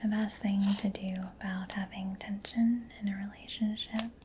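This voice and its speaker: A woman speaking in a breathy, mostly whispered voice, gentle, unhurried and reassuring, in a soft-spoken ASMR roleplay as a caring technician. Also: medium pitch, flat delivery, background noise.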